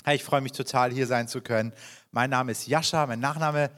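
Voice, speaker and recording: A man's voice: mid-range voice, steady tone, brisk, a bit bright. A man in his thirties, originally from Iran, speaking as a guest pastor who introduces himself to a congregation in a chatty, personal way with frequent fillers and restarts. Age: 30s. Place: church hall.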